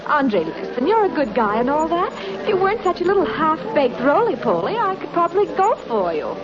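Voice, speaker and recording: An actress playing a spirited young heiress with a brisk, sardonic manner that turns pleading, in a 1930s radio play. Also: female voice, high voice, very animated, music in the background. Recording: muffled old radio recording with clear hiss.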